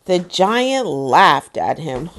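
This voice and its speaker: A woman in her thirties hosting bedtime stories for children, with exaggerated, deliberate phrasing as she reads to young listeners. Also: low-pitched, sing-song, fairly bright tone. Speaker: host of a children's bedtime story series. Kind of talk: children's read-aloud, storytelling to young listeners. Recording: music behind the voice. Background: music.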